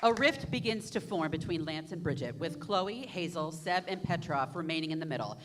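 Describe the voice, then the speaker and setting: A woman reading stage directions aloud in a plain, even manner at a student staged reading. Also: sits low, normal speed, over a noisy crowd, very noisy.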